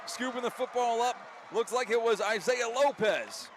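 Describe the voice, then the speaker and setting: A man doing live radio play-by-play of a football game, an excitable commentator who lifts his voice for big plays. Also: very high voice, moderate pace, some chatter behind. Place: outdoor high school football stadium. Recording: faint outdoor stadium ambience noise. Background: faint outdoor ambience.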